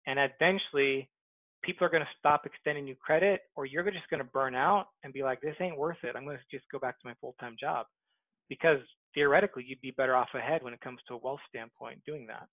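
A man's voice: mid-range voice, fast, no echo. A man speaking as an interview guest over a headset on a video call. He argues persuasively and comes back to his core point again and again. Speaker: guest, book author. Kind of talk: interview answers. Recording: dry, tinny phone-like call audio.

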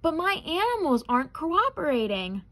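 Woman as young storybook boy, earnest, reasonable, higher-pitched, very varied intonation. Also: sing-song, moderate pace, pushed and bright, exaggerated voice. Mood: frustrated.

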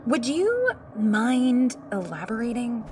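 An actress playing a sarcastic, deadpan teenage student in an audio drama. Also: female voice, on the high side, slow pace, slight background noise. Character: student Janet, dry and sarcastic teenage girl.

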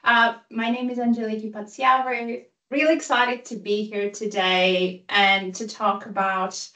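A woman's voice: medium pitch, steady tone, measured pace, sharp tone. A woman in her thirties with a Greek accent presents over a video call, upbeat and eager, slipping in dry jokes. Her mid-height voice sounds a little far from the mic in an echoing room. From Greece and Italy. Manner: upbeat, enthusiastic, self-deprecating humor. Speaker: guest speaker, consultant.

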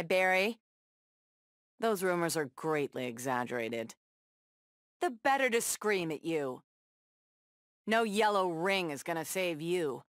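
An actress playing a tough, sardonic superheroine brawler in a video game, tossing out sassy, taunting one-liners. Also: female voice, fairly low pitch, a bit bright, no echo, studio quality. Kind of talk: video game fight banter.

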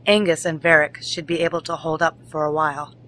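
A woman playing a grave, commanding noble lady in a fantasy audio drama, speaking with measured solemnity. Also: deep voice, flat delivery, heavy background noise, close to an everyday voice.

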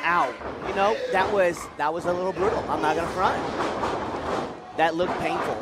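A man's voice: high-pitched, steady tone, normal speed. A man giving informal, conversational color commentary at a live wrestling match. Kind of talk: casual live sports commentary. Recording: loud crowd and venue noise behind. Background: wrestling crowd, ring slams.

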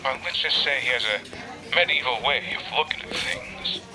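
A man playing a gruff older mentor who talks in a dry, terse way in a fan audio drama. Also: mid-range voice, moderate pace, noisy background, natural voice.

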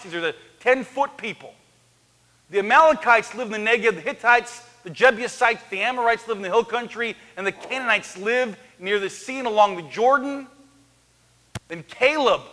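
A man in his thirties preaching an animated sermon on a church stage, swinging from loud to hushed. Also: very high voice. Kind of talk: lively sermon. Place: church sanctuary, large, indoors. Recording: echoey church hall.

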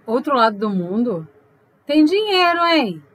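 A woman's voice: on the high side, big pitch swings, a little slow, a bit bright, full character voice. A woman playing a teasing, inquisitive, unsentimental girlfriend in an audio drama, probing and playful.

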